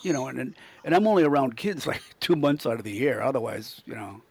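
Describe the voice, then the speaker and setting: A man's voice: medium pitch, lively intonation, a little fast, a little hiss or hum. An older man who performs as Santa, chatting casually and earnestly in a rambling way, often repeating his words. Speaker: professional Santa Claus performer, interview guest. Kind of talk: casual anecdotal chat.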